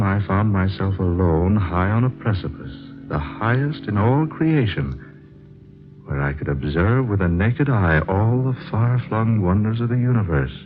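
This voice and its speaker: Earnest, brooding fantasy writer: deep, deliberate delivery, vintage radio drama, slightly muffled sound. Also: male voice. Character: earnest, troubled male fantasy writer searching for truth. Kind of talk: 1940s radio drama acting and narration.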